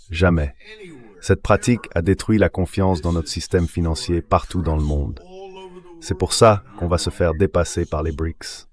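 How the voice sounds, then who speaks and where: This is a synthetic man's voice with a low pitch. It delivers a guest commentator's answers as a translated interview voice-over, reading political analysis.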